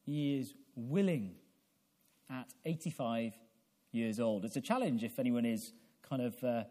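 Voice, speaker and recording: A man in his forties preaching a sermon in a deliberate, halting way, repeating phrases and posing questions to his listeners. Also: medium pitch, dark tone. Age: 40s. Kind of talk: evangelical sermon with prayer.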